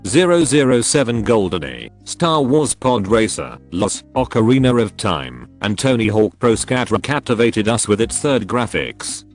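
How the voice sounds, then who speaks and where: Male narrator, lower register, even scripted delivery; light music bed underneath. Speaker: narrator reading forum posts. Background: faint background music.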